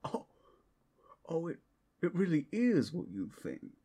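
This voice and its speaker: A man playing a cursed, menacing captive werewolf in an ASMR roleplay, with a gravelly, husky voice that often breaks into whispers. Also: fairly high pitch, big pitch swings, warm tone, exaggerated voice. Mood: menacing, taunting. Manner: slow, dramatic, swinging between whispers and outbursts. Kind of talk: ASMR audio roleplay acting.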